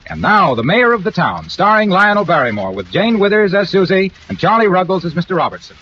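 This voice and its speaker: A man delivers an emphatic, polished announcement in vintage radio style. His slightly high voice moves in lively swings. The recording is muffled, with faint music. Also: brisk, a little hiss or hum. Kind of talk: 1940s radio sponsor announcement. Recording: muffled old radio broadcast.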